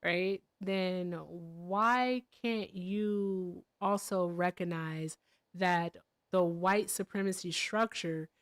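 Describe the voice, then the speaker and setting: A woman's voice: fairly low pitch, steady tone, very slow. A woman in a heated call-in debate, lecturing and repeating herself for emphasis. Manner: lecturing, repeats "all right".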